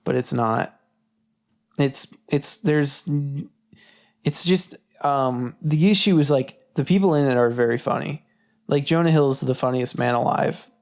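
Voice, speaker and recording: Creaky gravelly voice chatting about movies on a podcast, blunt, swears loads. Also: medium pitch, a little slow, a little mellow. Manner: blunt, swears freely, emphatic. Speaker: podcast co-host.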